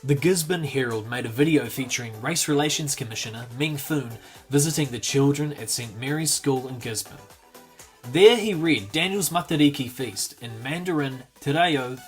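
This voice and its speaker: Male narrator for a promo clip, smooth upbeat scripted read over soft background music. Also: on the high side.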